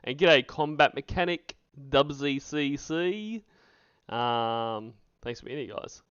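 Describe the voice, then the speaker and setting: Man, stream host, smooth clear voice, sarcastic and mocking, sweary, dry slightly muffled lo-fi sound. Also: mid-range voice, slow pace, a bit bright, no echo. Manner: mocking, profane. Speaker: livestream host reacting to recorded calls. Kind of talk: casual sarcastic commentary. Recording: dull lo-fi stream audio.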